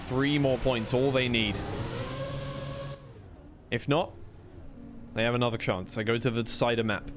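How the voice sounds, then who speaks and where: A man's voice: mid-range voice, fairly even intonation, a little slow, long pauses, background noise. A man giving live play-by-play commentary as an esports caster on a racing game match.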